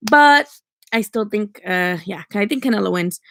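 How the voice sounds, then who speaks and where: A woman's voice: medium pitch, lively intonation, normal speed, vocal fry, fairly bright tone, a little hiss or hum. A young woman from Mexico with a Mexican accent, Spanish her first language, chatting casually on a livestream at home, opinionated, swearing freely and filling gaps with "um" and "like". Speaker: boxing streamer answering chat.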